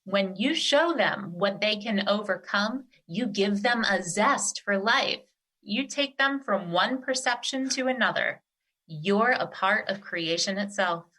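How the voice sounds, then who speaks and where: Woman acting as bright-voiced, wise earth spirit. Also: medium pitch, expressive, poor audio. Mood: reassuring, wise. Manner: formal, measured, reassuring. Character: wise, nurturing female earth spirit, calmly authoritative. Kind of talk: theatrical audio drama acting.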